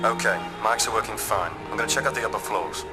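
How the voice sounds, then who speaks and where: A male actor plays a wry, flirty field handler in a game cutscene. The voice is fairly low and dry, casually wisecracking over clear background music. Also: fast talker, lightly acted. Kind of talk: video game cutscene acting. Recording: music behind the voice.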